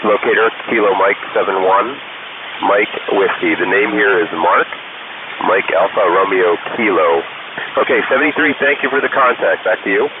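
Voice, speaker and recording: A man's voice: lowish voice, moderate pace. Man on a noisy narrowband ham radio. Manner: spells call signs in phonetic alphabet. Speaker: amateur radio station operator. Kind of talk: ham radio contact exchanges. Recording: noisy hissing narrowband shortwave-style radio link.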